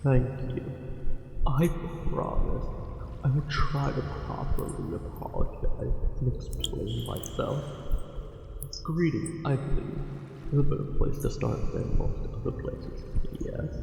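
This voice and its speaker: A man's voice: mid-range voice, lively intonation, takes it slowly, creaky, noisy background, full character voice. An actor playing a smitten angler merman in an ASMR roleplay, speaking formally and hesitantly with ornate, tender courtesy. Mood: formal, earnest. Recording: cavernous echo with long reverb, music behind. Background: music.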